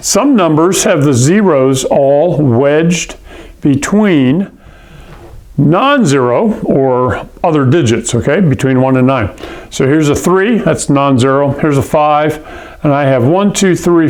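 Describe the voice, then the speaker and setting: Man from Hawaii, classroom lecturer, unhurried delivery, pitched a little high, patient explainer. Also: lively intonation, darkish tone. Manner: patient, checks in with "okay?" and "all right?". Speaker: science teacher.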